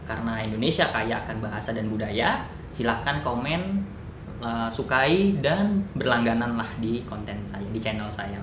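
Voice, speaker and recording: Chatty man in an echoey, noisy room. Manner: chatty, thinks aloud with fillers and self-corrections, jokey asides. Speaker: educational YouTuber. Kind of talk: casual educational monologue. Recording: echoey room with noise and rumble.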